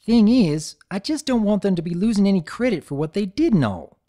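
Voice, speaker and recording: Man putting on a Southern-drawl farm pony mare, folksy, earnest, higher pitch, lively lilt. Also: brisk. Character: honest hardworking country farm pony mare.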